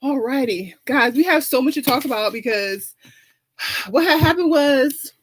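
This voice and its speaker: A woman's voice: high voice, lively intonation, measured pace, slight background noise. A woman hosting her own show in a sassy, flamboyant way, with dramatic exclamations, sighs and self-hyping slang. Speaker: show host. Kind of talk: sassy casual chat with shout-outs and ad reads.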